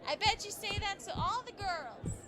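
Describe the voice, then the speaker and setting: A woman's voice: very high voice, expressive, moderate pace, bright, forceful tone, faint music behind, some chatter behind, full character voice. A woman playing a sassy, supportive young vigilante partner, frank and teasing, in an audio drama.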